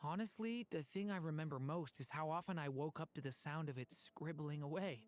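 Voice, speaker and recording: Earnest, gentle young dragon boy played by an actor. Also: medium pitch, fairly quick, soft background music, close to an everyday voice. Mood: wistful, gentle. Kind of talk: video game voice acting.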